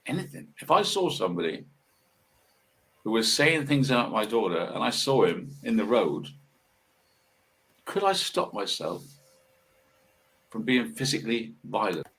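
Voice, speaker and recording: A man in his sixties giving a casual, rambling monologue, musing haltingly and asking rhetorical questions. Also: lowish voice, sing-song, gravelly, fairly bright tone, rough recording. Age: 60s. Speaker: video monologue host.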